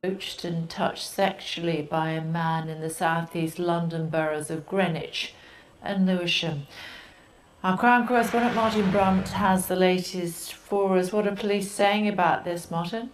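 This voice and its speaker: A woman's voice: on the low side, fairly even intonation, a little slow, rough recording. A woman presenting television news in a formal newsreader style. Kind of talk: scripted news reading.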